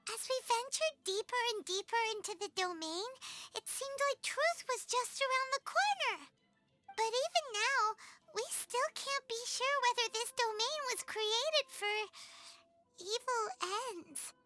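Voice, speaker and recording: Squeaky little fairy girl, very high, lively swoops, chirpy and fretful, anime game character, music behind. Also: unhurried, heavily acted. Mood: earnest, serious. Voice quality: squeaky, childlike. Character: tiny chatty childlike fairy companion girl, excitable and wary.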